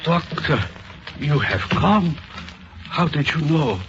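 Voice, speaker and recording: An actor playing a grieving, anxious farm father in an old radio drama, speaking in a halting way. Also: on the high side, expressive, fairly bright tone, slight background noise. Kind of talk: 1930s radio acting. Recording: dull old radio recording.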